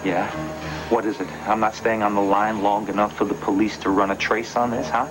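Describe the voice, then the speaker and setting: An actor playing a distrustful, volatile kidnapper on the run in a television soap, stammering, menacing and wounded. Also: male voice, fairly low pitch, little pitch movement, fairly quick, over music, natural voice, rough recording. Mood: cold, threatening. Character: distrustful, volatile fugitive kidnapper desperate to be a father. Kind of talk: television soap drama acting.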